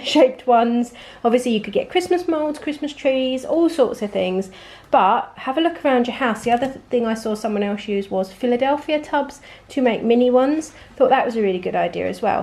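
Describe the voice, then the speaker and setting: A woman in her forties with an English accent, hoarse from a cold, chatting upbeat through a home recipe tutorial. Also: on the high side, darkish tone. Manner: chatty and upbeat, rambling asides. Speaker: home cooking vlogger.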